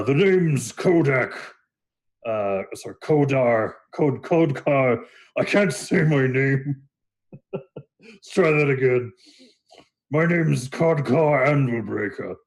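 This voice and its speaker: A man acts out a huge, imposing Minotaur blacksmith for a tabletop game. The beast is gruff and skeptical, lifted above the player's usual pitch. He drags his words out slowly with heavy sarcasm. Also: animated. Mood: gruffly friendly. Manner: slow, drawn-out, sarcastic delivery.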